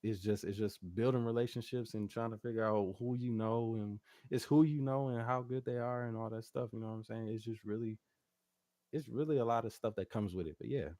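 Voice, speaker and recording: A man's voice: mid-range voice, fairly even intonation, fairly quick, dark tone, no room sound, studio quality. A man talking casually as a guest music artist in an interview, slangy and rambling, often checking that the listener follows. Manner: slangy, rambling, frequent "you know what I'm saying".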